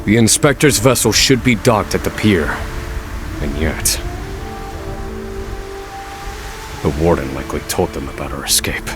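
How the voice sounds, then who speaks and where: Deep-voiced, grave scholar. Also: male voice, sing-song, music in the background. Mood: grave, resolute. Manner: measured, formal, erudite diction. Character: grim, brilliant adult male scholar escaping prison. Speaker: fantasy game character. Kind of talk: dramatic video game voice acting.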